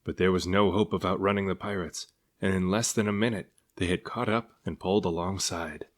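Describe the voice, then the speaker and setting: A man's voice: low-pitched, steady tone, a little fast. A man reading a children's storybook aloud as narrator. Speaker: storybook reader.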